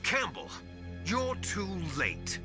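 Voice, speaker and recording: A man's voice: high voice, huge pitch swings, music in the background, heavily acted. A man playing a mocking, theatrical villain and evil twin in a video game, savouring each menacing word. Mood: gloating. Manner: mocking, drawn-out, menacing delivery. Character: theatrical, gloating male villain, twin brother of the hero. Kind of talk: video game cutscene voice acting.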